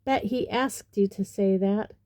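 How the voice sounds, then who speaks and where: A woman's voice: medium pitch, measured pace, mellow, no room sound, clean studio sound, lightly acted. A woman voicing a big, jovial, mocking male huntsman ghost with a roaring manner, in a storybook reading. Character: large, hearty, mocking bearded male headless huntsman ghost.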